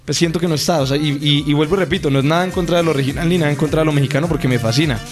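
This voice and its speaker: Man, singer guest, fast-paced, slightly high voice, faint music behind. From Colombia. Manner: eager, talks at length, passionate. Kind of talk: radio interview.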